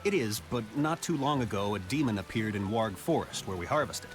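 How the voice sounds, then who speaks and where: A man's voice: on the low side, lively intonation, fairly quick, over music, natural voice. A man playing an affable, helpful fantasy town apothecary in a video game, speaking conversationally. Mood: grave.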